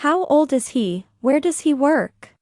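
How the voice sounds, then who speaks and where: A woman's voice: high-pitched, lively intonation, slow pace, darkish tone, dry recording, clean studio sound. A woman reading short English prompt phrases plainly for a language drill.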